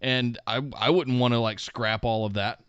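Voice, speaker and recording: Man, smooth resonant voice, measured and speculative, lo-fi dull recording. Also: medium pitch, steady tone, fairly bright tone, no room sound. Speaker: podcast co-host. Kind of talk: casual wrestling podcast chat. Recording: muffled, dry remote podcast audio.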